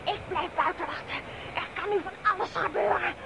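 A woman's voice: very high voice, normal speed, vocal fry. An actress playing a stern, urgent witch-hunter in a radio drama, grave and commanding. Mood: agitated, commanding. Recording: noisy old radio play recording.

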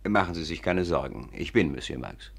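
Fast, suave criminal mastermind. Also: male voice, extremely low pitch, expressive, vocal fry, husky, heavily acted. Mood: imperious, polite. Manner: formal, courteous, imperious. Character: suave, commanding male criminal mastermind, politely menacing. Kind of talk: 1950s German radio play acting.

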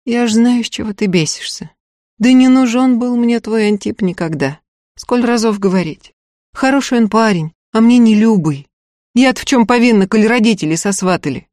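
Woman doing a quiet drawling village girl, soft and calm, story reading. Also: a little high, moderate pace, dry recording, studio quality, lightly acted. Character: calm pale young peasant woman Ustinya, accused witch.

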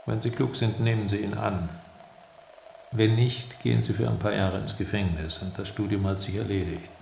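A state security interrogator from a radio play, speaking in an echoey room. His low voice is heavily creaky and gravelly. He is measured and authoritative, coaxing and threatening in the same controlled manner. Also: flat delivery, a little mellow. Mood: cool, threatening. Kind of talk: radio drama acting. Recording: echoey room with faint noise.

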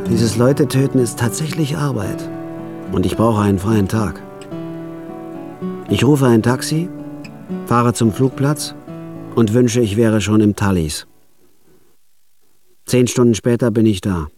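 A man's voice: medium pitch, a little slow, long pauses, close to an everyday voice. An actor playing a weary, cynical male killer who narrates his own story in a dry, matter-of-fact radio drama style. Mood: deadpan. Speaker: first-person narrator. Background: plucked guitar music.

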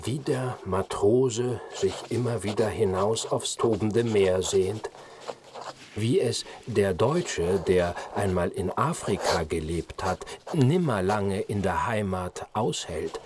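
Reflective man, lowish voice, slow measured delivery, radio drama, audible background noise. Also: animated. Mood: recitative. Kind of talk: literary radio play acting.

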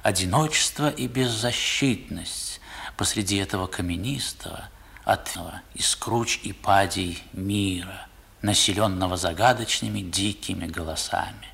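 Wistful literary narrator, lowish husky voice, expressive measured storytelling, vintage radio recording. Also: male voice, heavily acted. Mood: awed, uneasy. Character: wistful male narrator recalling boyhood. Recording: old 1960s radio recording.

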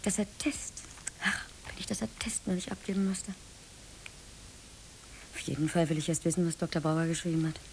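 An actress playing a nervous, secretive accounts clerk in a German radio play. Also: female voice, fairly low pitch, moderate pace, long pauses, over music, close to an everyday voice. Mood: anxious, hushed. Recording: noisy old radio recording.

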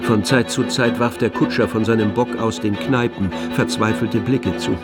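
A man's voice: lowish voice, steady tone, moderate pace. A man reading scripted literary narration as the narrator of a radio play. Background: music, with violin.

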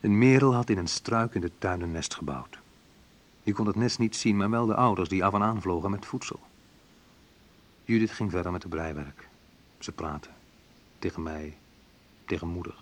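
A wounded man telling of his own youth in a radio play. His voice is low and rough-edged. He speaks colloquially, in short, clipped sentences. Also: normal speed, long pauses. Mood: subdued, sorrowful. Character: bitter, wounded male narrator recalling his youth. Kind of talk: dramatised first-person narration, radio play.

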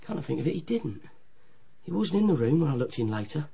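A cheeky young page boy in a radio play. He talks fast in a fairly high voice, eager and naive, with colloquial turns of phrase. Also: sing-song, creaky, dark tone, slightly rough, full character voice. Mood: matter-of-fact. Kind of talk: radio drama acting.

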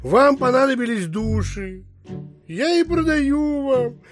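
An actor playing a bullish, stubborn provincial landowner in an audio drama, haggling and boasting emphatically. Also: male voice, extremely high pitch, expressive, music in the background, full character voice. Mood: pushy bargaining. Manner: emphatic, blustering, drawn-out boasting. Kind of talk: theatrical audio drama acting in Russian classic.

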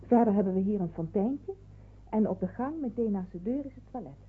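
An actress playing a practical nurse in wartime hiding in a radio drama, with a brisk, organising manner. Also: female voice, mid-range voice, animated, slight background noise, close to an everyday voice. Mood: friendly, confiding. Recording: muffled, phone-like radio play recording.

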